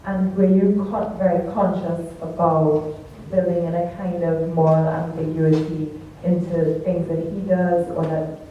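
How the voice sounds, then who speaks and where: Low woman moderator, tinny and echoey. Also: little pitch movement, unhurried, a little hiss or hum. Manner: reading out a question plainly. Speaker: moderator reading a question. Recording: tinny, echoey, far from mic.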